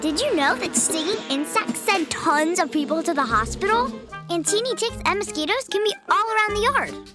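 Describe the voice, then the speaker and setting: A woman narrating a public service ad like a children's storybook, in a sweet, childlike tone. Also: extremely high pitch. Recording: ad with children's music. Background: tinkly children's music.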